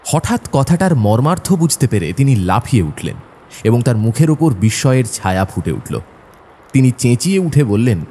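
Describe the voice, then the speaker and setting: A man as an animated, mellow storytelling narrator. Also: mid-range voice, normal speed, a little hiss or hum, lightly acted. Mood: descriptive. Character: observant male companion of a detective, telling the story. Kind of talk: dramatic scripted story narration.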